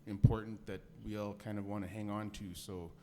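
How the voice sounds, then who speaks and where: A low-voiced man talks haltingly, with plenty of fillers between thoughts. He chats as a band member on a podcast. Faint room noise sits behind him. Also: level tone, darkish tone. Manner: hesitant, lots of fillers. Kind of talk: casual podcast chat.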